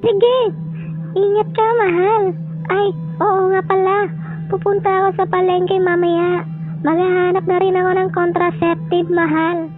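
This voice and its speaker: A woman plays a worried, caring young wife in an amateur radio drama. Her voice is extremely high. The recording is dull and lo-fi, with music behind her. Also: flat delivery, measured pace. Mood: gentle.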